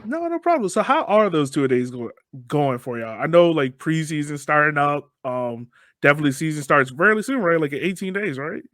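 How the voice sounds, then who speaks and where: A man's voice: a little high, animated, fairly quick, a bit bright, no echo, studio quality. An American man hosting a podcast in a casual interview style. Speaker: podcast host.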